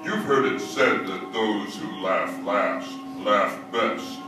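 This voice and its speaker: Man acting a very slow, ghoulish radio horror host. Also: on the low side. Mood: gleefully macabre. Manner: slow, theatrical, darkly jovial. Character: ghoulish, sardonic male horror-show host with mocking laughs. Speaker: host of a horror anthology play. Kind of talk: old-time radio horror introduction. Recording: roomy distant stage recording, clear music behind.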